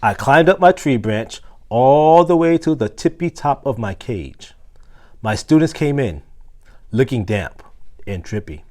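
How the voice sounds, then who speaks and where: A man's voice: mid-range voice, animated, unhurried, a little mellow. A man reads a children's book aloud as a storyteller. His mid-range voice moves up and down a great deal, and he acts out the dialogue expressively, with an occasional small stumble. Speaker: assistant principal reading a children's book aloud.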